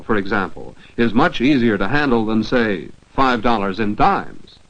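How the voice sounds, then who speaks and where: Animated 1940s American educational film narrator, male. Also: mid-range voice. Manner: didactic, rhetorical questions, precise enunciation. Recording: dull band-limited 1940s film soundtrack.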